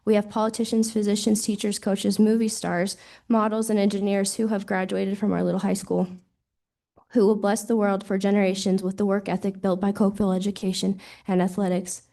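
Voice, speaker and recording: Hoarse lady at a desk mic, earnest parent testimony, warm low tone. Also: flat delivery, fairly quick. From Wyoming. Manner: earnest, proud, reading from notes. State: hoarse, has lost her voice. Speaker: parent giving public testimony. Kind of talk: prepared public comment. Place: public hearing room. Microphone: desk microphone.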